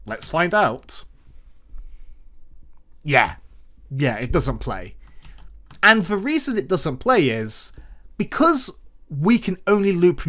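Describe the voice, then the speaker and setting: Man with a lively, swooping tutorial voice. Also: medium pitch. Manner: instructional, step by step. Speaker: tutorial presenter. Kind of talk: scripted-sounding screen-recorded programming tutorial narration. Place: voice-over for a screen recording.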